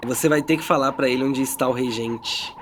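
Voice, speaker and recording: A man's voice: mid-range voice, steady tone, fairly quick, slight background noise. A Brazilian man narrating a tabletop game as game master in a casual, descriptive way, checking in with his players.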